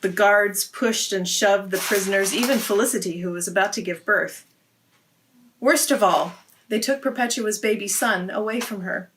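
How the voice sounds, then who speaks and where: A woman's voice: mid-range voice, fairly bright tone, slight background noise, low-quality recording. A woman hosting a read-aloud, narrating a story for children.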